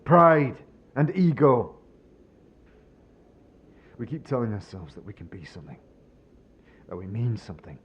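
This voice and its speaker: Man voicing a despairing, bitter, slow-spoken man. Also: mid-range voice, husky, dull audio. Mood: bleak, hopeless. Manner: brooding, sardonic, rhetorical questioning. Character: despairing, bitter man who has lost all faith. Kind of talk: dramatic fantasy audio drama acting.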